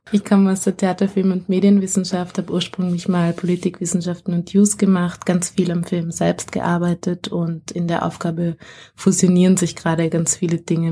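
A woman introducing herself as a studio guest on a radio show, calm and matter-of-fact. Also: lowish voice. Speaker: festival director, studio guest. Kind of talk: radio interview.

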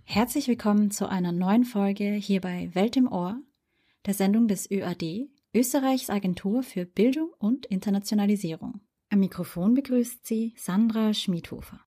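Slightly breathy woman radio host. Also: medium pitch, mellow. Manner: clear, measured delivery. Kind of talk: scripted radio narration and interview.

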